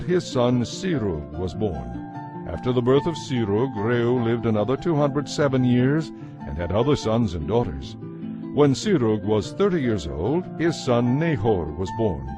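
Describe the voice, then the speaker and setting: A man giving a measured, solemn scripted narration of a dramatized Bible. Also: mid-range voice. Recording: narration over a music bed.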